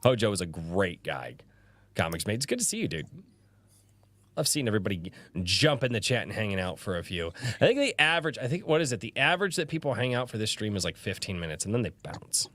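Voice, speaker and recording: A man hosting a casual livestream podcast, animated and rambling, quick to laugh. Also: fairly low pitch, no room sound. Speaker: podcast host.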